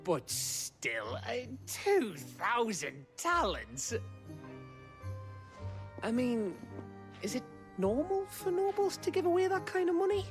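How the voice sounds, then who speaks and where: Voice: medium pitch, big pitch swings, slow, pauses often, faint music behind. An actor playing a blunt, down-to-earth companion in a fantasy video game. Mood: incredulous. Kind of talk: naturalistic video game acting.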